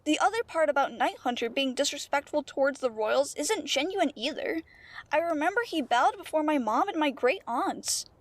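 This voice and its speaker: A woman's voice: high-pitched, lively intonation, moderate pace, dry recording. A woman voicing an earnest, stubborn teenage pony princess in a fan audio drama, outspoken and articulate. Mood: earnest, defensive. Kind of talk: animated-fandom audio drama acting.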